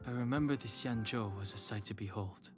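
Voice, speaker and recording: A man playing a reserved, brooding young man in an anime-style video game, measured and understated. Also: fairly low pitch, music in the background, lightly acted. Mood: guarded, resigned.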